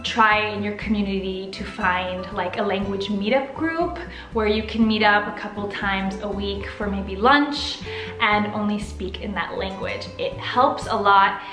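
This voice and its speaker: A young American woman vlogging to camera from her living room, upbeat, expressive and chatty. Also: mid-range voice, reverberant. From United States. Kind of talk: casual to-camera vlog. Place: living room with sofa, indoors.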